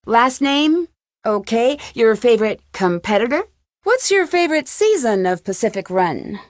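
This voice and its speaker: Game heroine: curious young amateur sleuth, conversational and questioning, on the higher side, very dry recording. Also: female voice, big pitch swings, measured pace, no echo. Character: inquisitive, friendly young female amateur sleuth. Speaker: game protagonist. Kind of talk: video game dialogue lines.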